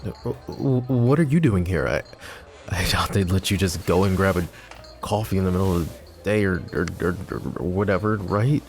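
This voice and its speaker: A male voice actor playing a lovesick, brooding young mafia boss in an ASMR roleplay. He speaks softly and intimately, sometimes dropping to a whisper, with wry, self-mocking humor. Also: sits low, normal speed, slight background noise. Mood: flustered, teasing. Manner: soft, intimate, drops to whispers.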